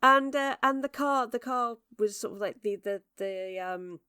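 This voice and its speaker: A woman's voice: fairly high pitch, unhurried, pushed and bright, clear, resonant tone, dry recording, studio quality. An English woman telling a story in a casual podcast chat, with many fillers and restarts. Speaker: director and regular of the show.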